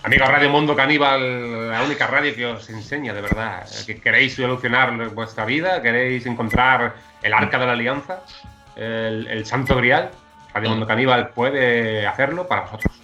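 A man's voice: medium pitch, steady tone, normal speed, sharp tone, muffled recording, soft background music. A man from Spain co-hosting a comedic radio chat, playing mock-grand announcer. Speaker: radio show co-host.

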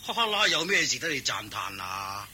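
An actor playing an excitable, pious father and household master in a Hong Kong Cantonese radio drama, exclaiming and questioning. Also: mid-range voice, normal speed, slight background noise. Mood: puzzled.

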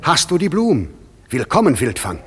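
An actor playing the proud, commanding fairy king in a classic verse radio play, with a measured, stately delivery. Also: a little high, huge pitch swings, husky, soft background music, heavily acted.

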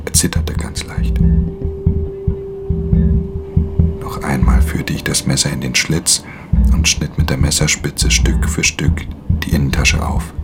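A man playing a professional pickpocket who recounts his theft in measured, breathy, half-whispered radio drama narration. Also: very deep voice, fairly even intonation. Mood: hushed, tense. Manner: measured, hushed storytelling. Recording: music under the voice.

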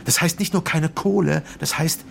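Stern middle-aged male politician, gravelly. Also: on the high side, moderate pace, fairly bright tone, a little hiss or hum. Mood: cold, threatening. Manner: stern, lecturing, patronising. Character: hard-nosed middle-aged male politician, threatening and condescending. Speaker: actor in a radio crime drama. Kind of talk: naturalistic German radio drama acting.

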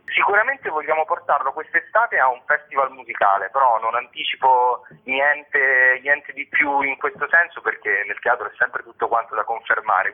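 A man giving discursive, explanatory answers as an interviewed theatre curator and actor. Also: medium pitch. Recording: band-limited phone line or radio sound.

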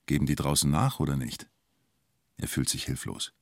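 An actor playing a reflective narrator of a police siege, speaking in terse, understated observations for a radio drama. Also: male voice, extremely low pitch, moderate pace, creaky, no room sound, clean studio sound, exaggerated voice. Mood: pensive observing. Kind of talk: literary radio drama narration in present tense.

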